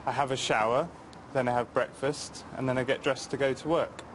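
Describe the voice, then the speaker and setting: A man's voice: mid-range voice, steady tone, brisk, a little hiss or hum. A man giving plain, brief answers in an interview.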